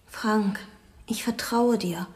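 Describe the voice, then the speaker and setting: An actress playing a determined, secretive woman under suspicion in a radio drama, urgent yet controlled and often hushed. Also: medium pitch, steady tone, takes it slowly, natural voice. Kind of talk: German radio drama acting.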